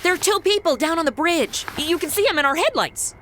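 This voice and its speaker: Comedy audio drama: very high-voiced, sarcastic young female sleuth, flippant and excitable, lively intonation. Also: fast talker, a little hiss or hum. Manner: snarky, flippant, exclamatory. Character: sarcastic, excitable modern young woman amateur sleuth, Madison.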